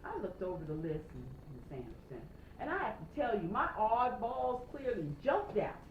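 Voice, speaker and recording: A woman reads a children's story aloud with an animated, expressive delivery. Her pitch moves widely and she pauses for emphasis. The sound is roomy, with faint background noise. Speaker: storytime reader. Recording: roomy, slightly noisy, dull home recording.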